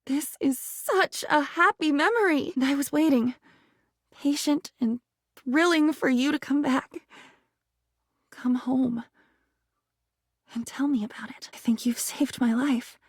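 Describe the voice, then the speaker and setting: A woman voicing a whimsical, neurotic living diary in a video game, with a theatrical, rambling way of talking that swings between wonder and excitement. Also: sits high, measured pace, long pauses, no room sound, studio quality. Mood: tender, grateful. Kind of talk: video game character acting.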